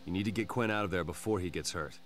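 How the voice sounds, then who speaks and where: A man's voice: low-pitched, quick pace, faint music behind, lightly acted. An actor playing a grave, worldly man giving stern counsel in a video game. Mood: grave warning.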